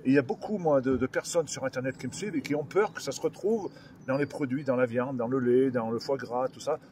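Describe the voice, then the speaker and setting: A man's voice: mid-range voice, fast talker, background noise. A man, a farmer speaking colloquially and earnestly as he hosts an informal live interview. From France.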